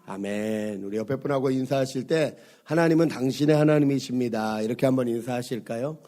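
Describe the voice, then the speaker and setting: A middle-aged man, hoarse from a cold, preaching a Sunday sermon as a pastor, conversational and full of fillers, asking his congregation questions. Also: medium pitch, brisk, dark tone. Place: church sanctuary.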